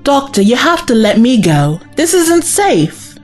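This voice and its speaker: A woman's voice: high-pitched, very animated, measured pace, soft background music. A young woman playing a brave, caring female companion in a sci-fi audio drama, earnest and direct. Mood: worried, earnest.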